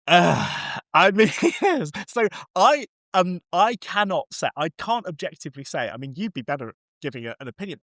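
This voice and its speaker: Male podcast host, fairly high voice, very lively intonation, casual review chat, close dry sound. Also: moderate pace, few pauses, dry recording. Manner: animated, conversational. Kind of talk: casual film discussion.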